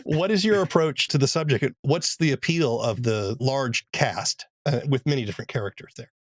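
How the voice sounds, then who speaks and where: A man interviewing podcast creators in a relaxed, musing way. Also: medium pitch, normal speed, dry recording. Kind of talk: casual interview.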